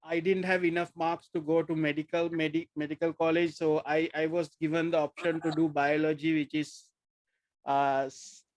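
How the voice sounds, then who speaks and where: A middle-aged man hosts a podcast from a room at home, talking into a desk microphone over a video call. His voice sits high for a man, and the sound is close and dry. He asks his questions haltingly, with plenty of fillers. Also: flat delivery, dry recording, poor audio. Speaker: podcast host. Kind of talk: casual video-call interview. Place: home room with posters behind.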